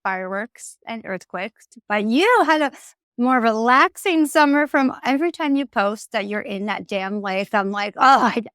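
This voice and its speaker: A bubbly woman in her thirties co-hosts a podcast and gushes over stories. Her voice sits a little high and sounds smooth. The recording is dry and close. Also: expressive, moderate pace, a bit bright, no echo. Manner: bubbly, gushing reactions. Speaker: podcast co-host. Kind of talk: casual chat.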